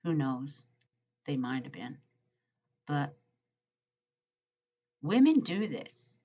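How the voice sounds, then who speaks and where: A woman's voice: low voice, big pitch swings, very slow, warm tone, breathy edge. A woman vlogger reading a letter aloud and commenting, hesitant with frequent ums and uhs, in muffled lo-fi audio. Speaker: true-crime vlogger.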